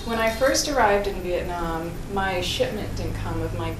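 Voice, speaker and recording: A woman's voice: lowish voice, lively intonation, normal speed. An American woman who teaches piano, answering interview questions thoughtfully, with frequent fillers and restarts. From United States. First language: English. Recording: echoey room with clear noise.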